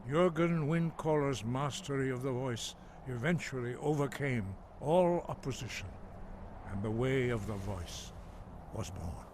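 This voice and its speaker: A man acts as an aged monk in a fantasy game, speaking at a middle pitch. He recites lore with formal, measured reverence. Clear ambient noise sits behind the voice. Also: expressive, darkish tone, close to an everyday voice. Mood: solemn, reverent. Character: solemn old male monk teaching sacred lore. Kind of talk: solemn scripted lore narration.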